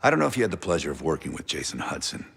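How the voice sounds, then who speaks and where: An actor playing a weary, cynical intelligence operative in a video game, gravelly voice and terse, deliberate delivery. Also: male voice, a bass voice, very animated, a bit bright, heavily acted. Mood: grave, guarded. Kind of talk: cinematic game dialogue, low-key briefing.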